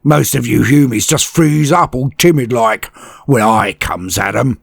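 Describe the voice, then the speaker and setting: A hulking orc warlord with a gravelly, husky bark, as a male narrator puts him on, taunting his foe in coarse slang. He sounds bigger and rougher than an ordinary man. The delivery is dry and close, with faint music behind. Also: medium pitch, unhurried, dry recording, voice pushed up. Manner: gruff slangy barking, coarse grammar.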